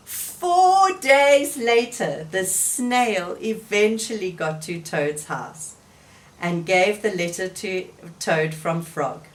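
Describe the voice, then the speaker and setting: A woman reading a children's storybook aloud, gentle and expressive. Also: mid-range voice. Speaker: storybook reader.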